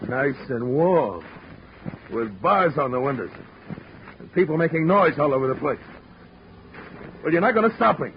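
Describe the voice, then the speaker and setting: A stammering, unhinged ex-convict. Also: male voice, fairly high pitch, expressive, moderate pace, long pauses, a little hiss or hum. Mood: menacing. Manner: stammering, paranoid, swings from dazed to threatening. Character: confused violent ex-convict man, unstable. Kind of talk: 1940s radio acting. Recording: dull old radio broadcast recording.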